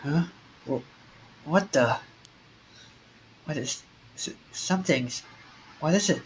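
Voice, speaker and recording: A man's voice: on the high side, expressive, slow, slight background noise, poor audio. A man reading as a shaken young man. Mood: panicked. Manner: stammering, panicky, then quietly resolved. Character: frightened young man, friend has collapsed. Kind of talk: reading a visual novel aloud. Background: game music.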